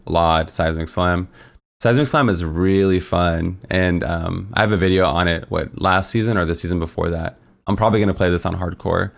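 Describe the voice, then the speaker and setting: A man's voice: low voice, creaky. A man walking casually through a game build tier list, upbeat and rambling with lots of fillers, his voice a little worn. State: losing his voice. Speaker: gaming content creator.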